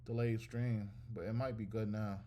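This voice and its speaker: A man's voice: lowish voice, flat delivery, normal speed, dark tone, slight background noise. A man giving casual live reaction commentary in a blunt, profane way. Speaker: reaction streamer.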